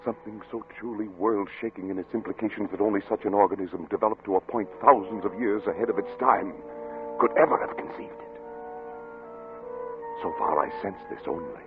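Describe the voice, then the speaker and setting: An actor playing an obsessed, paranoid middle-aged scientist in theatrical, formal 1940s radio style. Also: male voice, lowish voice, a little fast, lightly acted. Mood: brooding, obsessed. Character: obsessed, paranoid male scientist, haunted and defiant. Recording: tinny old radio recording with music.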